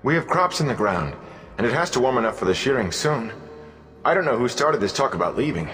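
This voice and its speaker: A man's voice: medium pitch, big pitch swings, a little fast, fairly bright tone, long reverb tail, faint music behind, pitched above the performer's own voice. A man voicing an anxious young farmhand who protests in a raised, urgent voice. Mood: protesting.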